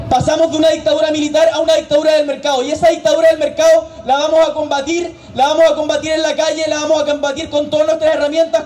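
A young man giving a fiery protest speech from an outdoor stage into a handheld mic. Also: extremely high pitch. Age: young adult. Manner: fiery, shouted oratory. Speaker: student leader. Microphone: handheld mic over a PA.

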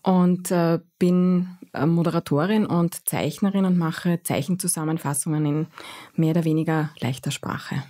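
Hesitant Viennese woman, low voice. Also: fairly even intonation, normal speed, dark tone. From Vienna, Austria. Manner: thoughtful, frequent hesitations. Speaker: guest, graphic recorder. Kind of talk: interview answers.

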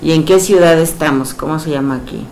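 Low-voiced Mexican woman hypnotherapist. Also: a little hiss or hum, rough recording. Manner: soft, slow counting and suggestions, then lively coaxing questions. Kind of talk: guiding a hypnosis session, then chatting with the client.

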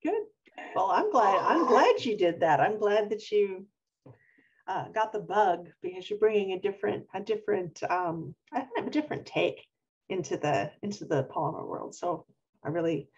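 A woman in her fifties hosts an interview over a video call from her craft studio. Her voice is breathy and swings up and down in pitch as she encourages her guest. The sound comes through dull and muffled. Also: normal speed. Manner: encouraging, lively questioning. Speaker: host.

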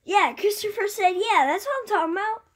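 A woman's voice: very high-pitched, brisk. A young female co-streamer chatting casually with a friend during prank calls. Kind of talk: casual chat.

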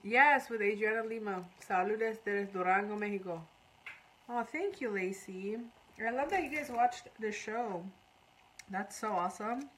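A woman's voice: mid-range voice, measured pace, fairly bright tone, a little hiss or hum, low-quality recording. A woman in her thirties from Iran, chatting casually on a live makeup tutorial and reading out viewer comments while she works. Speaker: makeup artist. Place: small indoor room before a curtain.

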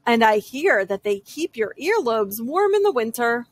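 A woman reading a children's picture book aloud as a young girl's story, with dramatic, drawn-out emphasis. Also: a little high, very animated, no room sound.

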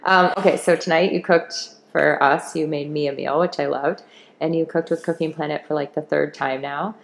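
A woman's voice: sits low, fairly even intonation, normal speed, vocal fry, a little hiss or hum. A woman chef interviewing a friend in a home kitchen, chatty and friendly, with plenty of fillers. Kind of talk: casual interview. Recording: roomy home kitchen sound.

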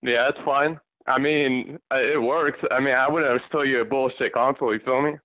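A man's voice: mid-range voice, fairly even intonation, moderate pace, no room sound. An American man in a casual phone chat, hesitant and polite, heard over a band-limited phone line. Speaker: online seller receiving a prank call. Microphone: telephone.

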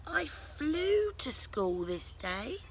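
A woman voicing an earnest, excitable little boy puppy in a storybook, pleading and insistent. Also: high voice, very slow, pitched above the performer's own voice, full character voice. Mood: excited. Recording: noisy outdoor ambience.

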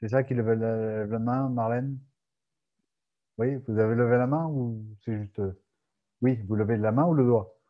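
A man from Aix-en-Provence hosting a casual video-call Q&A, relaxed and chuckling, with frequent hesitant restarts. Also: medium pitch, moderate pace. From France, Aix-en-Provence. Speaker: podcast host and moderator. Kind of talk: casual Zoom Q&A chat. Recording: dull muffled call audio.

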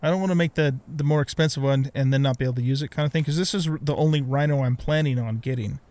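A man's voice: a little high, fast, darkish tone. A middle-aged man giving casual let's play commentary on a video game, thinking aloud and muttering to himself.

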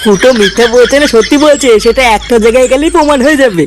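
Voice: high voice, racing, noisy background, natural voice. A performer voicing an irritable, sarcastic village man in a comic cartoon, brusque and grumbling. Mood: sceptical. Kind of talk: comic cartoon dialogue in colloquial Bengali.

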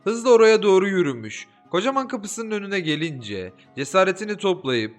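A man reads a folk tale aloud in a storyteller's way. His pitch sits on the higher side and swings widely from line to line. Soft music plays faintly behind him. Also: normal speed. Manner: lively, expressive reading with voiced dialogue. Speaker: audiobook narrator. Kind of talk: storybook narration with character voices.

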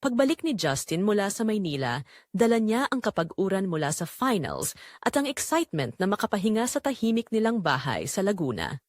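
A woman narrates a scripted audio drama at a medium pitch that moves with a lot of expression. She delivers it in short, measured phrases that build suspense. The recording is dry, with very little room sound. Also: dry recording.

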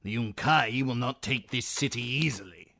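A man's voice: medium pitch, normal speed, sharp tone, pitched above the performer's own voice, natural voice. A man voicing a scowling official who speaks with disbelief. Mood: scornful. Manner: scornful, disbelieving. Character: scowling skeptical male official.

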